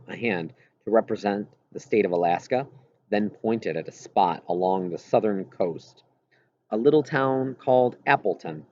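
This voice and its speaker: A man with a husky voice reads a first-person novel aloud expressively, with halting pauses mid-phrase. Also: sits low, darkish tone, lo-fi sound. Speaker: audiobook reader.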